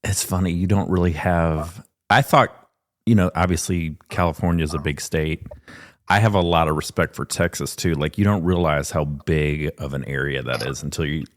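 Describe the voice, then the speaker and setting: Man, very low pitch, podcast host, rambling, casual, restarts sentences. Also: normal speed. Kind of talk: casual interview chat.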